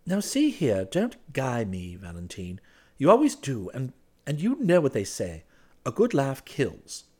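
A male narrator playing a smitten young gentleman, flustered, protesting and easily wounded, in a storybook reading. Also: mid-range voice, wildly swooping pitch, unhurried, breathy edge, voice pushed up, heavily acted. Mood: playful protest. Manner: protesting, hesitant, emphatic.

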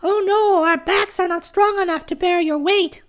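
A man voicing a proud young talking deer in a very high, squeaky falsetto for a storybook reading. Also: steady tone, moderate pace, fairly bright tone. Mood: earnest.

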